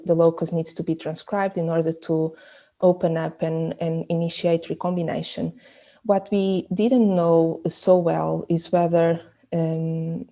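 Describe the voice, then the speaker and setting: A woman from Portugal, Portuguese her first language, answers interview questions about her research. Her voice sits low and mellow and stays fairly flat in pitch. She explains in a measured way and often restarts her phrases. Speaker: immunologist, research group leader.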